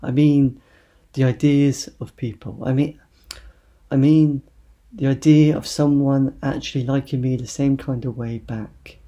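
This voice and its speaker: Very dark-voiced man reading poetry. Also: medium pitch, fairly even intonation, a little slow, dull audio. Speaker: poet. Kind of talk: spoken-word poetry reading.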